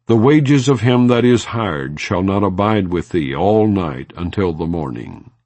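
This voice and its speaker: A man with a low voice reads scripture as an audiobook narrator. His delivery is slow, solemn and formal, with a lively rise and fall in pitch across the measured phrases. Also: vocal fry, no room sound. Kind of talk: scripted scripture reading, non-dramatized.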